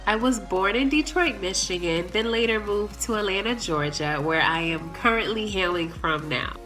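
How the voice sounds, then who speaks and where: A woman's voice: mid-range voice, moderate pace. A young adult woman, the fellowship's president, giving an upbeat to-camera introduction with playful asides. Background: soft background music.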